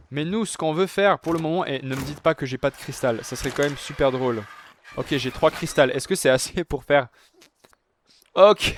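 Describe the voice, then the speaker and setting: Young guy chatting thru his gameplay to his viewers, bit high voice, faint hiss n clicks behind. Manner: chatty, talks to viewers as "les gars", many fillers. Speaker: gaming youtuber. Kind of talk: casual let's-play commentary.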